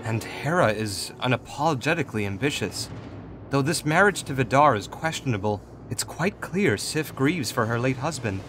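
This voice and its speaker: A man playing a composed, calculating god in authority, speaking in a measured, formal, diplomatic way in a fantasy audio drama. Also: medium pitch, lively intonation, a bit bright, faint music behind, natural voice. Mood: calm, gently chiding. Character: Raphael, composed, calculating male god in authority, gently chiding.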